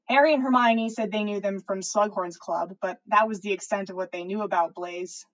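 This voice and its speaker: A woman's voice: medium pitch, fairly even intonation, moderate pace, rough recording. A woman reading a story aloud as a podcast host on a livestream. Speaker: podcast host reading listener fan fiction.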